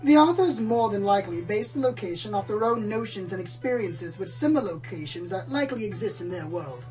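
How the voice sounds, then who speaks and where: A woman's voice: mid-range voice, lively intonation, brisk, distant mic, natural voice, rough recording. Lady doing a self-important dramatic boy pony storyteller, noir radio play spoof, a bit off the mic, music under. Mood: earnest, grand. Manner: theatrical, florid, self-important. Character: pompous, dramatic male pony storyteller obsessed with noir detectives. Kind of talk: comedic radio play, hardboiled narration parody.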